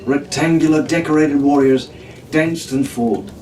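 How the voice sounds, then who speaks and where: A man's voice: a little high, unhurried, darkish tone. A man reading a pirate adventure story aloud as a dramatic storyteller. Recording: echoey room, distant mic, faint noise.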